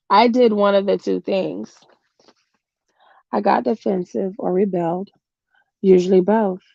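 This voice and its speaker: A middle-aged woman reading a self-help book aloud in a slow, earnest way, tired and with her voice going hoarse. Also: medium pitch, dark tone, no room sound. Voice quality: gravelly. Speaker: book reader. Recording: dull lo-fi home recording.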